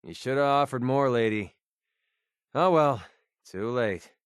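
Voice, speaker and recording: Game companion: cocky young American male gun-for-hire played by a male actor, wisecracking and sarcastic, mid-pitched, dry close sound. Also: expressive, measured pace, smooth, no room sound, natural voice. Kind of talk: video game voice acting.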